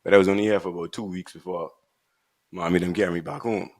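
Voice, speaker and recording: A man from the Bahamas with a Bahamian accent, co-hosting a podcast and telling his story in a relaxed, laid-back way. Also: deep voice, fast. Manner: relaxed, laid-back storytelling with frequent "right" and "you know". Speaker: podcast co-host. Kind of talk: casual chat.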